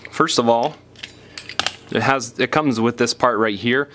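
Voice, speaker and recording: A man's voice: mid-range voice, unhurried, fairly bright tone, a little hiss or hum. A man hosting a do-it-yourself tutorial, explaining each step in a plain, informal way as he demonstrates. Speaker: repair tutorial host. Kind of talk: casual step-by-step hands-on demonstration.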